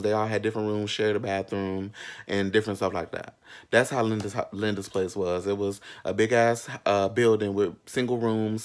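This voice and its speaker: A man in his thirties telling a personal story in a casual, sassy, slangy and candid way, with frequent asides. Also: fairly low pitch. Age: 30s. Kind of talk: casual, animated storytime chat.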